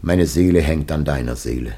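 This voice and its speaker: A man acting an ancient, dying patriarch in a solemn radio drama, grave, anguished and loving, with declamatory, drawn-out phrasing. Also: rumbling low, warm tone, slightly rough, heavily acted. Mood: anguished, tender.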